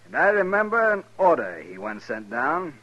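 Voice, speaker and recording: A man's voice: a little high, very animated, normal speed, muffled recording. A man playing a cold, smug veteran Army captain, formal and sardonic, in 1950s radio western style. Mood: reminiscing coldly.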